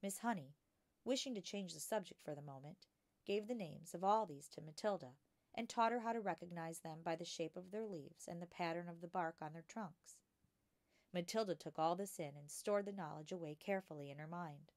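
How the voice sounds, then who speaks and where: A woman reading a children's book aloud as a storytime narrator, expressive and clearly enunciated. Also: fairly low pitch, brisk, no room sound. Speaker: storytime reader.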